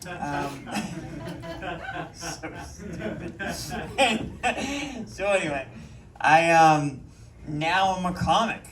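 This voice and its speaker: A man's voice: on the high side, expressive, very slow, long pauses, a bit bright. A man with a Boston accent doing a rambling, self-mocking stand-up set on a comedy club stage, with plenty of ums. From Boston, USA. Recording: roomy, mic at a distance.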